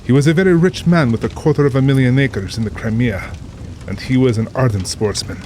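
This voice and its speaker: A man's voice: medium pitch, a little fast, darkish tone, a little hiss or hum, lightly acted. A man playing a cultured, proud general and big-game hunter in an audio drama, speaking formally and boastfully with polished self-assurance. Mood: grandiose, reminiscing. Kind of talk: full-cast audio drama acting.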